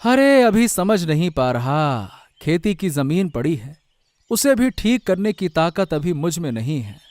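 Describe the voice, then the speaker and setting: A struggling village vegetable seller acted by a man in a cartoon story. He sounds worried and complaining, in a fairly high voice. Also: sing-song, unhurried, darkish tone, no echo.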